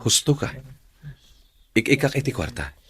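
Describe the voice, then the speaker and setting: A man's voice: mid-range voice, big pitch swings, moderate pace. A man with an Ilocano accent acting a lovestruck, defensive man in a radio drama. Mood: hesitant, guarded. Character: lovestruck, defensive man in love with a separated woman.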